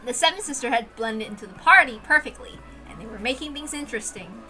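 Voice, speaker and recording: A woman reading a visual novel aloud in an expressive, informal way, dramatic in narration and casual in asides. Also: on the high side, fast talker, soft background music.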